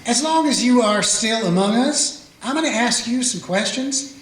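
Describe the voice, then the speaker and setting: A man's voice: very high-pitched, lively intonation, normal speed. A man voicing a weary small-town sheriff who narrates and questions a prisoner with folksy, dry humor. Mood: patient. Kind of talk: radio play narration and acting. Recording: echoey room with faint music.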